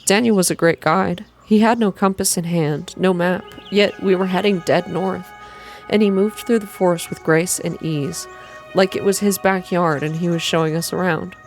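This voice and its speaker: Female narrator, lowish register, soft ASMR storytelling, measured and atmospheric, light music underneath. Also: fairly even intonation. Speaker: reader of a video game story.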